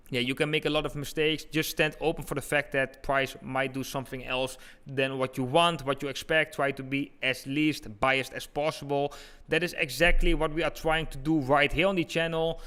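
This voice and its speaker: A man's voice: a little high, a bit bright, clear, resonant tone. A man with an eastern Dutch accent, a native Dutch speaker, giving informal live chart commentary and talking directly to his viewers as a livestream host. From eastern Netherlands. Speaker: crypto trading livestream host.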